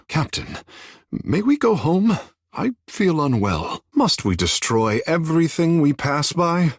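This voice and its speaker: A vain, flirtatious fish-folk mystic from a fantasy video game. His delivery is courtly and theatrical, swooping widely in pitch with playful wit. The voice has a breathy husk and sounds close and dry. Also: dry recording, full character voice. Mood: queasy. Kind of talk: scripted video game companion dialogue.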